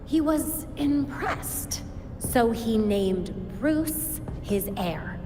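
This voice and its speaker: An actress playing a sharp young vigilante investigator in a video game, with an American accent and a focused, inquisitive delivery. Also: female voice, on the high side, lively intonation, very slow. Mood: serious, thoughtful. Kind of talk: video game cutscene acting. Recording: long reverb, music behind.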